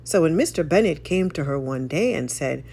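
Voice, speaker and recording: A woman reading a folk tale aloud in an expressive, dramatic storytelling style. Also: deep voice, normal speed, gravelly, slightly rough. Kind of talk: expressive scripted story narration.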